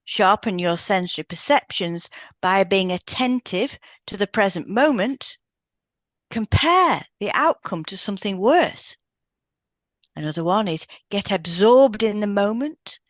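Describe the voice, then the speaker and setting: A woman's voice: mid-range voice, no room sound. A woman co-hosting a podcast, reading out step-by-step instructions from a script with brief asides. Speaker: podcast co-host, hypnotherapist.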